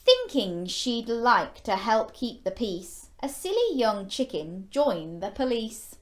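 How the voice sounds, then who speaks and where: A woman hosting a children's story time, reading a picture book expressively with exclamations and playful questions to young listeners. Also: a little high. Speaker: children's storyteller and show host.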